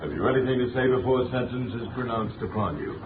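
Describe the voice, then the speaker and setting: An actor playing a stern, unshakable judge in a 1930s radio drama, formal, declamatory and imperious. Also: male voice, mid-range voice, lively intonation, fast, background noise, lightly acted. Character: stern, unshakable male judge passing sentence. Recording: distant echoey tinny 1930s radio.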